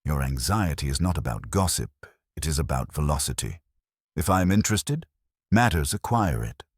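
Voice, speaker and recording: A man's voice: very deep voice, sing-song, moderate pace, husky, no echo, studio quality, full character voice. A man voicing a pompous, unflappable private detective in an audiobook, formal and commanding. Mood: cool disdain. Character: pompous, imperturbable genius male private detective.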